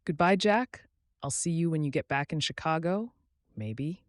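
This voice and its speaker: A man's voice: fairly high pitch, moderate pace, no echo, clean studio sound, voice pushed up, natural voice. A man voicing a sarcastic, torn young engaged woman in story dialogue, with an emphatic delivery. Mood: teasing.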